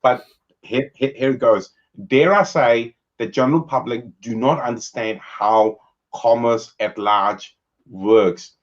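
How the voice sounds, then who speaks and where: A man hosting a casual video-call chat about whisky, relaxed and chatty. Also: mid-range voice, slow, muffled recording. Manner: relaxed, chatty, uses fillers. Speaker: host.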